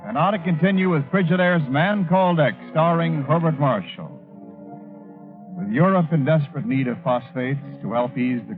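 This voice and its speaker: Male 1940s radio announcer, scripted narration, medium pitch, narrow phone-like sound. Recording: tinny old radio, music behind.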